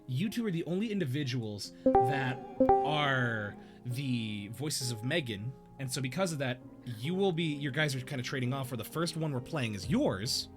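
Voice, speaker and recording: A young man hosting a casual livestream, rambling and self-deprecating in an upbeat way. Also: medium pitch. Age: young adult. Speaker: stream host.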